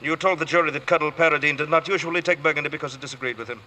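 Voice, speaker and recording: A noisy old radio recording of a presiding judge. He talks quickly in a bright voice, formal, precise and coolly polite with firm authority. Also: a little high. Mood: probing. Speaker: actor playing judge. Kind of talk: 1950s radio courtroom drama acting.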